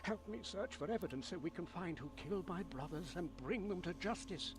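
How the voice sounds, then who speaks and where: A devout old monk from a video game, pleads in a shaken, faltering way. His voice sits fairly high and rises and falls dramatically. There is clear noise behind him. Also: lively intonation, a little fast. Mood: pleading, shaken. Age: older. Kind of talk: scripted video game character acting.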